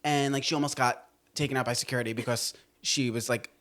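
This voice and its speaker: A woman's voice: extremely low pitch, steady tone, fast, fairly bright tone. A young woman co-hosting a podcast, chatting casually and telling stories with lots of filler words. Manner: chatty, many "like" fillers, storytelling. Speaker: podcast co-host. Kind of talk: casual chat.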